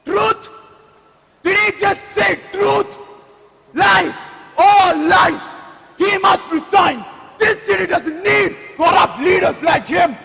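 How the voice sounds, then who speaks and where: A man acts out an outraged protester in a stage play, rallying against a corrupt leader. He shouts in a high, forceful voice that rings through a hall among a crowd. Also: slow, gravelly, pauses often, exaggerated voice. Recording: echoey hall, crowd around.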